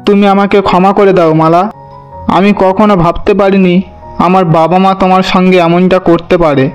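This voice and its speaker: A grieving young man voiced by a male actor speaks in a high voice, emphatic and full of reproach. It is cartoon melodrama, with music running underneath. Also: flat delivery, moderate pace, smooth, highs cut off, lightly acted. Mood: anguished. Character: grieving young husband, righteous son confronting his parents.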